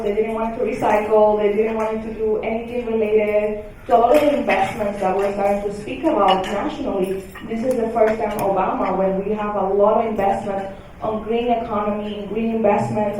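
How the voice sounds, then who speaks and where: Young woman, from the Dominican Republic, Spanish first language, earnest panelist, mellow tone, echoey distant hall sound. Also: medium pitch, a little hiss or hum. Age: young adult. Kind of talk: panel discussion. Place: conference hall.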